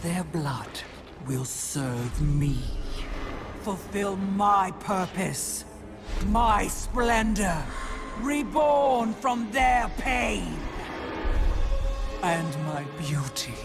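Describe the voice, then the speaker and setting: A woman's voice: medium pitch, animated, very slow. A woman playing a vain, megalomaniac sorceress in a video game, grandiose and theatrical. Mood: grandiose, exultant. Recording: music behind the voice.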